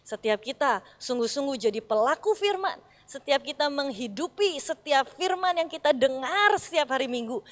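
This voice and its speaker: A middle-aged woman pastor from Bandung with a Sundanese accent, preaching with lively storytelling and acted-out dialogue. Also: sits high, normal speed, long reverb tail. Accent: Sundanese-accented Indonesian. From Bandung, West Java. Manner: animated storyteller, acts out dialogue, addresses congregation as "saudara". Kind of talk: sermon with storytelling. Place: church hall.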